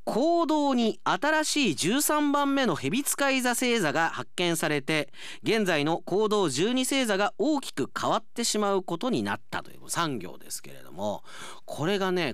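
Highly animated male radio host. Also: no echo, studio quality. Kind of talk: chatty radio presentation. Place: radio studio.

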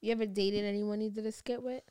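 A woman's voice: mid-range voice, level tone, fast talker, mellow, smooth. A woman in her twenties hosting a casual podcast, grilling her guest with pushy, teasing questions. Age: 20s. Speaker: podcast host.

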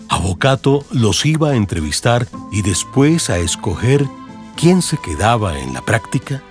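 A male narrator with a Colombian accent and a low voice tells a radio-serial story. His delivery is scripted and lively in pitch, with clear music underneath. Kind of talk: dramatized audiobook narration in radio serial form.

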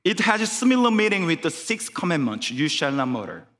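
A man's voice: fairly high pitch, big pitch swings, a little fast, a bit bright, big-room sound. A man whose first language is Korean, preaching a sermon in careful English with a Korean accent, often restarting phrases. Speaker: guest preacher. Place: church sanctuary.

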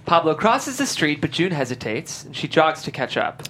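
A woman narrates screenplay directions in a low voice with lively intonation. Faint room noise is audible behind her. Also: moderate pace. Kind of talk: scripted narration at a table read.

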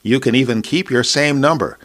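A man's voice: medium pitch, fairly quick, fairly bright tone. A man reading a scripted personal endorsement as a podcast host.

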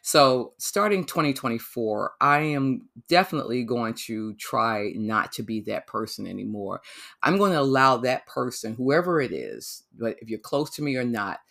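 A man with a gravelly, creaky voice talks alone on his podcast. He reflects in a loose, rambling way, with fillers and restarts as he searches for words. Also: medium pitch, expressive, moderate pace, a bit bright. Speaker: podcast host. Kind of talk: solo podcast monologue.

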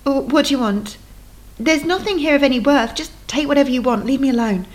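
A woman's voice: mid-range voice, normal speed, natural voice. A woman voicing a frightened young woman in her twenties who pleads with a gunman, in a book reading. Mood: frightened pleading. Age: 20s. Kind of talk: audiobook reading.

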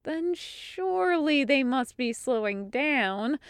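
Woman playing a wheedling, high-pitched young-sounding man. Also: animated, slow pace, no room sound, studio quality, full character voice. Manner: coaxing, sly. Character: hopeful, wheedling, cheeky male companion. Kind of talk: audiobook character acting.

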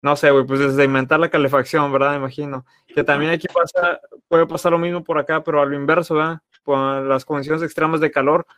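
A man's voice: fairly high pitch, flat delivery, fairly quick, hardly any pauses, fairly bright tone, dry recording. Rambling Mexican man, dry sound. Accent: Mexican Spanish. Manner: rambling, tag questions, slangy. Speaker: podcast co-host. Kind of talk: casual chat.

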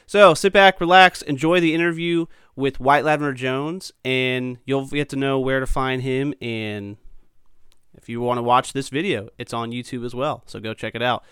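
A man's voice: mid-range voice, fairly even intonation, normal speed, a bit bright, smooth, no echo, clean studio sound. A male podcast host getting over a cold, a bit sniffly, talking in an upbeat, chatty way with lots of fillers. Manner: upbeat, chatty, many ums and uhs. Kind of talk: casual podcast chat.

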